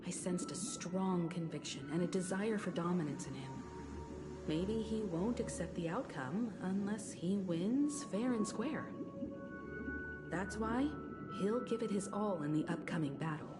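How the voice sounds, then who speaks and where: A woman voicing a composed, thoughtful and resolute ally in a video game, calm and deliberate. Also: fairly low pitch, loud music behind the voice, lightly acted. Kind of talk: video game story voice acting.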